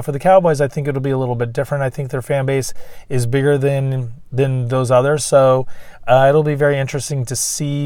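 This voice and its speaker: A man with a cold hosting a casual sports fan video, chatty with lots of fillers and throat clearing. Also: medium pitch, a little mellow, no echo, clean studio sound. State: losing his voice, getting sick. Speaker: YouTube fan channel host. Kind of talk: casual sports preview vlog.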